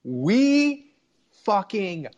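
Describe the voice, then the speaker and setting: Male sports podcast host, animated swings in pitch, slightly high voice, excitable and salty fan chat. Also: very slow, muffled recording. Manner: profane, excitable storytelling.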